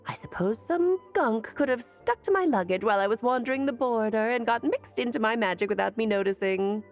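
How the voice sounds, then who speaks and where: A woman's voice: fairly high pitch, lively intonation, brisk, soft background music. An actress playing a worldly, playful sorceress mother in a video game, teasing and eloquent in an airy, theatrical way. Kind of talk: video game character acting.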